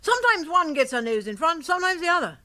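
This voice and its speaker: An actress playing a brisk, bossy, teasing upper-class English aunt in a radio comedy, with a forthright, emphatic delivery. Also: female voice, very high-pitched, big pitch swings, bright, forceful tone, exaggerated voice. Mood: teasing, gossipy. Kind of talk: radio comedy drama acting.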